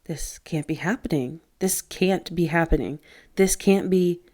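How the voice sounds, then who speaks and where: A woman voicing a frightened, quick-tempered teenage boy in a spoken story. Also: on the low side, warm tone, natural voice. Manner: tense, quick to anger. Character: frightened teenage boy gang member, narrator.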